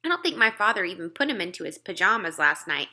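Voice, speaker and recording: A woman narrating a children's book aloud in an expressive style. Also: fairly low pitch, fast talker. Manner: acts out dialogue.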